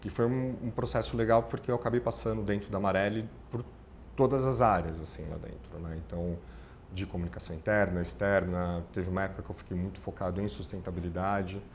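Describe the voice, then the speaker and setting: A man's voice: low-pitched, steady tone, fast talker, a little hiss or hum. A middle-aged man with a São Paulo accent, a podcast guest chatting casually and rambling with lots of self-corrections. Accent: São Paulo (ABC paulista) Brazilian Portuguese. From São Paulo ABC region, Brazil. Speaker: podcast guest, communications manager. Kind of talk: casual interview chat.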